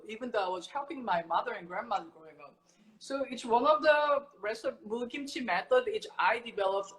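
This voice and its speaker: A middle-aged woman from Korea who teaches kimchi making, explaining in a casual, animated way with everyday comparisons. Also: fairly high pitch, poor audio. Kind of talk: casual cooking chat.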